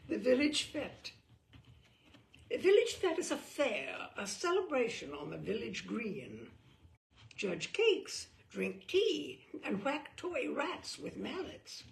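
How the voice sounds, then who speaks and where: Woman, humorous audiobook-style reading, lively swooping intonation, crackly low-end fry, deadpan wit, dramatic pauses. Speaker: reader of a humorous book. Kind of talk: theatrical read-aloud of dry comic prose.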